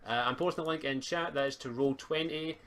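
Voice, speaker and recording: A man's voice: on the high side, a little fast, fairly bright tone. Man hosting over a hissy mic. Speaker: game master and stream host. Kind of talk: casual chat running a tabletop roleplay session. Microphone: computer mic on internet voice call. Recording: noisy home mic on group voice call.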